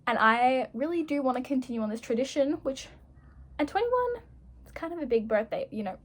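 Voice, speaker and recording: A woman's voice: high voice, lively intonation, brisk. A young woman turning twenty-one, chatting casually to camera while doing her makeup, rambling, self-mocking and full of "like"s. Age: young adult. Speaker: vlogger.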